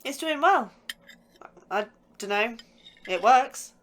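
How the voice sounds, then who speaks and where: A woman's voice: on the high side, animated, slow, fairly bright tone, a little hiss or hum. A woman playing a curious, chatty young science officer who likes to tease her colleagues in an audio drama.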